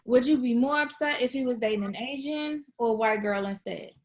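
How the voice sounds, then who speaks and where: Actress as awkward young woman: high voice, wry, sarcastic, a bit defensive, casual. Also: fairly quick, lightly acted. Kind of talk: screenplay table read.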